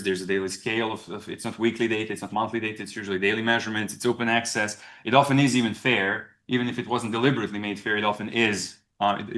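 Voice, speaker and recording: A young man from Serbia, giving a conference presentation in a halting way, often restarting and repeating words. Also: lowish voice, fairly bright tone. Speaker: research presenter.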